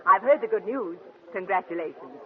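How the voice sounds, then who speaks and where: A woman's voice: mid-range voice, lively intonation, brisk, natural voice. An actress as a grand, swooping gossip-columnist queen. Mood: grand, gushing. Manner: grand, gushing, prying. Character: imperious, nosy female Hollywood gossip columnist. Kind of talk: 1940s radio drama acting. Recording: phone-like old 1940s radio recording.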